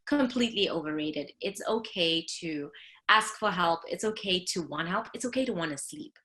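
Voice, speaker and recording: A woman with a low voice speaks as a podcast guest. Her tone is bright and forceful, and she talks with lively enthusiasm. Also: normal speed. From Zimbabwe. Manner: enthusiastic, animated, laughs often. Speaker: guest, nurse practitioner and lactation consultant. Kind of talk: casual podcast interview.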